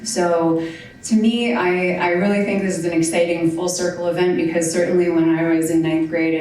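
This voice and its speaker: A woman speaks informally to a public gathering as a state representative. Her voice is low, and it reaches the mic from far off in a very echoey space with faint noise. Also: darkish tone. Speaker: state representative giving a speech. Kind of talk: informal public speech at a dedication event.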